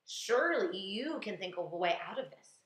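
A woman's voice: mid-range voice, lively intonation, moderate pace, natural voice. Woman voicing a worried, coaxing lady ladybug. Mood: desperate. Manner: pleading, emphatic. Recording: echoey, roomy sound.

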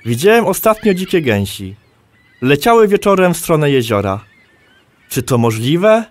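A man's voice: on the high side, big pitch swings, normal speed. An actor playing a curious, slightly skeptical man in a conversational audio drama, asking questions.

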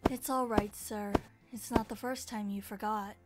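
A woman's voice: medium pitch, fairly even intonation, moderate pace, loud music behind the voice, lightly acted. A woman voicing a polite, composed young maid in a comic fan dub, formal and deferential.

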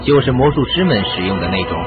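A man's voice: mid-range voice, big pitch swings, quick pace, narrow, tinny audio, close to an everyday voice. A man acting in a radio drama as a shrewd, confident sleuth, explaining things in an assured way. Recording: band-limited audio with music.

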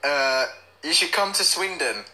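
A man's voice: on the high side, lively intonation, a little slow, bright, forceful tone, rough recording. A young man chatting casually on a livestream, reading out comments in a playful, silly manner. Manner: playful, silly, breaks into bits of song. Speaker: livestream host.

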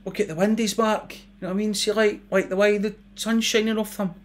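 A man's voice: high-pitched, flat delivery, a little fast, voice pushed up, lightly acted. A man doing a dreamy Scottish teenage boy. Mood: pleading. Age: young adult. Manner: wide-eyed, rambling, easily distracted.

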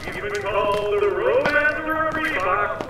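An actor playing a theatrical street-fight announcer, drawing out words in showman style for a comic audio drama. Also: expressive. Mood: hyped. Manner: booming showman delivery, drawn-out words. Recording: echoey, noisy ambience.

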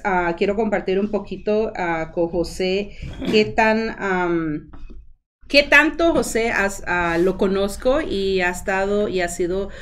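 A woman hosting a community morning show, chatting casually with her guests and often pausing on fillers. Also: mid-range voice. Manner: warm, chatty, frequent fillers like "ah" and "am". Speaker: host. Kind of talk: casual chat show interview.

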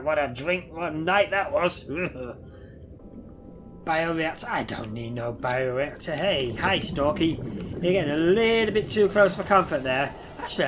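A man's voice: on the high side, fairly bright tone, slight background noise. A man in his thirties with a head cold and a nasal voice, chatting casually over a game and swearing at the creatures on screen. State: head cold, stuffy nose. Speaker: gameplay streamer. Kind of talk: casual gameplay commentary. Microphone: headset.

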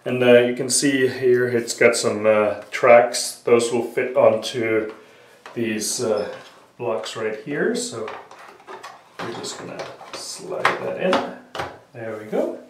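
A man with a slight cold talks slowly through a repair, dropping frequent "uh"s as his hands stay busy. He sounds set back from the mic in a roomy space, with faint noise underneath. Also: mid-range voice. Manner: unhurried, many "uh" fillers, talking while working with hands. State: sniffly with a cold. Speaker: hobbyist repair tutorial maker. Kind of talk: casual step-by-step repair tutorial. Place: small room at a workbench, indoors. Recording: roomy, distant camera audio with faint noise.

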